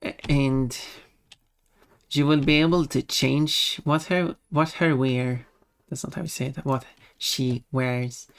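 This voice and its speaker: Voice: mid-range voice, fairly even intonation, slow, clear, resonant tone. A streamer chatting casually in a rambling, self-correcting way with giggly asides. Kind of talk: casual livestream chat. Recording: music behind the voice. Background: background music.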